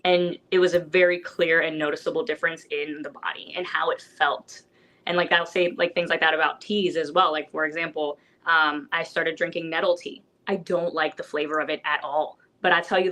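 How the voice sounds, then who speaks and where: Lower-pitched woman chatting on a panel. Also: fairly even intonation, fairly quick, a bit bright. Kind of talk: casual conversational sharing.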